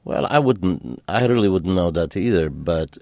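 A man from Romania whose first language was German, a radio guest talking in a reflective, halting way with many fillers. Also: sits low, expressive, darkish tone, no room sound. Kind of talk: call-in radio interview.

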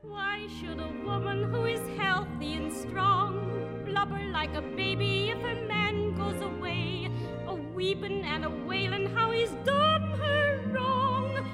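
A woman singing as a proud, independent young farm girl in a 1950s musical theatre recording, with slow, sustained operatic phrasing. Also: very high voice, over loud music. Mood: defiant. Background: orchestra.

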